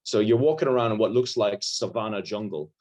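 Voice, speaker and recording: A filmmaker from Ireland with an American-sounding accent, chatting casually in an interview over a video call, rambling with lots of restarts. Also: male voice, fairly low pitch, fairly quick, a little mellow. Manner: rambling, frequent restarts and fillers, self-deprecating.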